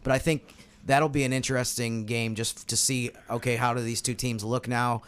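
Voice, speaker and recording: An American man talks through basketball as a podcast co-host. His voice sits at a middling pitch, and his style is casual and conversational, like an analyst chatting with colleagues. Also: little pitch movement, moderate pace, fairly bright tone, clear, resonant tone.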